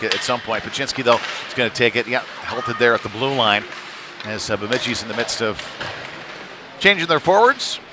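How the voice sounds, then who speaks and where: A man giving casual, unpolished live play-by-play commentary on a youth hockey game, his delivery rising with the action. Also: mid-range voice. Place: cold ice rink during a live stream. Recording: clear venue noise behind the voice.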